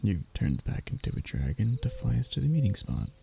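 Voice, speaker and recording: A man playing a lovesick young royal heir in an intimate romance roleplay, stammering and hesitant, with a husky, breathy voice. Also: fairly low pitch, very animated, a little fast, dark tone, a little hiss or hum, heavily acted. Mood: hurt, resigned. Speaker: voice actor in audio roleplay.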